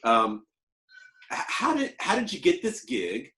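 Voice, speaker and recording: A man hosting an interview, asking questions in an unhurried, hesitant way with fillers. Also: high-pitched, wildly swooping pitch, sharp tone. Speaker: host, interviewer. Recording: echoey room.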